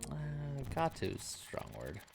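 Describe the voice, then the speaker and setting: A man's voice: sits low, huge pitch swings, moderate pace, creaky, music in the background. A man reading video game story text aloud on a live stream, mixing dramatic narration with joking casual chat.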